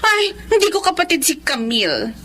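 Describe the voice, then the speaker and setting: High-voiced coy Filipina radio-drama mistress. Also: female voice, big pitch swings, moderate pace, fairly bright tone, heavily acted. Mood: smug, scornful.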